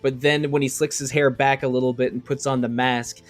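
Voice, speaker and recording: A man chatting on a podcast panel about game music, enthusiastic and halting, often restarting and repeating words. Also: mid-range voice, little pitch movement.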